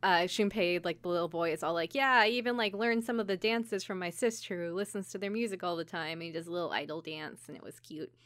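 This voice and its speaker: A woman's voice: fairly low pitch, fast, few pauses, fairly bright tone, dry recording. A woman podcast co-host telling a story in casual chat, informally, with lots of "like" and quoted asides. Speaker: podcast co-host recapping an anime episode. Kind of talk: casual chat, episode recap.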